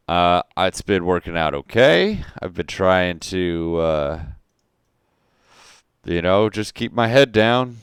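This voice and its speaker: A man performs a sly fey schemer with a folksy Southern drawl. His low, smooth voice swings widely in pitch as he hedges and bargains in a halting way, as in a tabletop fantasy game. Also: no room sound, studio quality. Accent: Southern American.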